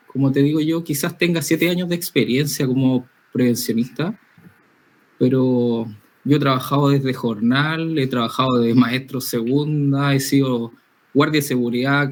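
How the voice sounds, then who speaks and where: Candid man from Spain. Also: medium pitch. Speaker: guest, safety professional. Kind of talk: casual interview talk.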